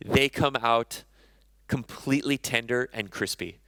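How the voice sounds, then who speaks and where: A man's voice: mid-range voice, unhurried, sharp tone, clear, resonant tone, no echo. A man in his thirties, a chef giving a conversational conference talk on stage, often correcting himself mid-sentence. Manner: conversational, self-correcting, enthusiastic about food.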